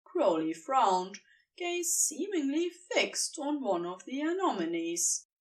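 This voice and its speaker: A woman's voice: high voice, big pitch swings, slow pace, distant mic. A woman reading scripted story narration as the narrator of an audio fiction piece.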